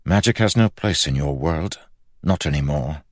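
A man voicing a guarded, courteous young magician envoy in an audiobook, speaking in a measured, restrained and formal way. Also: rumbling low, lively intonation, dry recording, clean studio sound. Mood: warning. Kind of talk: audiobook character acting.

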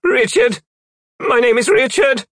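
A man's voice: animated, measured pace, fairly bright tone, no echo, studio quality, exaggerated voice. A man voicing a frightened, simple townsman in an audiobook story, panicky, earnest and pleading. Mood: panicked. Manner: panicky, high-strung, earnest.

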